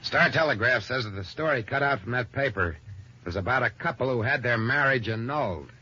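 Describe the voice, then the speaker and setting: An actor playing a no-nonsense, plain-spoken police detective sidekick in old radio crime-drama style. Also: male voice, medium pitch, lively intonation, fairly quick, pushed and bright, breathy edge. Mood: matter-of-fact. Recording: noisy lo-fi vintage radio recording.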